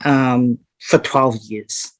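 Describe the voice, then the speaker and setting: A woman's voice: extremely low pitch, steady tone, extremely slow, no room sound. A woman from Hong Kong giving casual, straightforward answers as an interview guest. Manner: blunt, straightforward. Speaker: interview guest, competitive bodybuilder.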